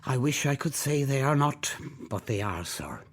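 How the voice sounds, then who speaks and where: A man's voice: medium pitch, very animated, normal speed. An actor with an Irish accent playing a courteous male charity collector in a radio play, earnest and polite. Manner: courteous and earnest, softening at phrase ends.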